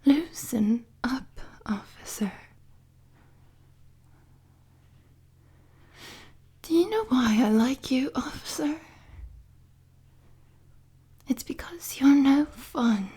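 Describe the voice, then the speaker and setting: Breathy, slow-talking villainess, acted by a woman, sultry and unhinged. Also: high-pitched, mellow, heavily acted. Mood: taunting, coy. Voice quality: breathy, whispery, husky. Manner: slow, teasing, sultry, mock-sweet taunting. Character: unhinged, flirtatious female supervillain prisoner, obsessive and taunting. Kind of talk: roleplay audio drama monologue.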